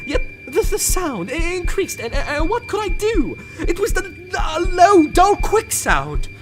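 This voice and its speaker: A man's voice: very high-pitched, very animated, unhurried. A man giving an intense, theatrical first-person dramatic reading of a classic tale. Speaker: narrator. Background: music.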